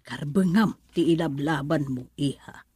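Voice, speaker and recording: A woman with an Ilocano accent acting a doubtful, probing middle-aged mother and auntie in a radio drama, with drawn-out exclamations. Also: sits low, a little mellow, husky, no room sound, heavily acted. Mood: soft, coaxing.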